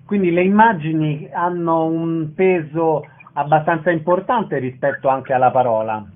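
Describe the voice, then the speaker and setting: A man's voice: on the high side, moderate pace. A man hosting a radio interview, introducing guests and announcing show details. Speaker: radio host. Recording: narrow phone-like band.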